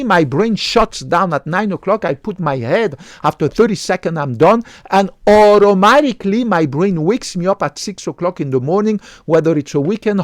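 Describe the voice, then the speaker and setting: A man's voice: a little high, no room sound, studio quality. A man, a medical doctor, giving emphatic health advice straight to his viewers, tagging lines with "right?". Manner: emphatic, repeats commands, tags lines with "right?". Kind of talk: direct-to-camera health talk.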